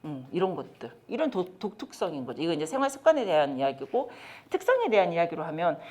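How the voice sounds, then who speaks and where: Woman, middle-aged, lecturer, animated intonation, engaging. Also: on the low side, fast talker. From South Korea. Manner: lively, talks straight to the audience with questions. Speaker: lecturer on child development. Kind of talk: training lecture to caregivers. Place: lecture room.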